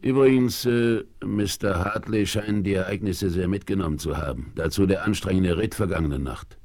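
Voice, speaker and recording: A shrewd Western scout leader in a 1970s radio play. The voice is low and rough-edged, calm and deliberate, with quiet command. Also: male voice. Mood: calm, cautious. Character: shrewd, calm frontiersman leader, cautious and decisive. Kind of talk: 1970s German audio drama acting.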